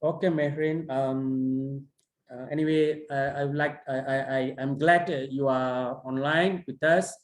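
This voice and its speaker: A man chairing an online conference session and giving feedback on a paper, halting and full of fillers and restarts. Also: mid-range voice, steady tone. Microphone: video call. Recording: roomy echo.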